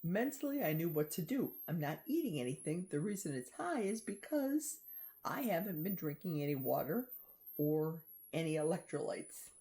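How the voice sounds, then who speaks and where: A woman's voice: low voice, very animated, moderate pace, warm tone. A middle-aged woman chatting in a confiding way in a personal vlog, talking straight to her viewers. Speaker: vlogger. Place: outdoors in a forest.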